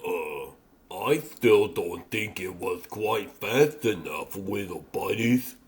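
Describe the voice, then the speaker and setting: Man as a gruff, low cartoon pig hero. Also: slow. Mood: doubtful. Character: gruff, grumbling big male pig superhero. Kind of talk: comic dub character acting. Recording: faint music underneath.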